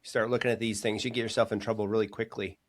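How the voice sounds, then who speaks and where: Friendly low-voiced male podcast host. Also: level tone, quick pace. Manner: friendly, stumbles and restarts questions. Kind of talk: interview hosting.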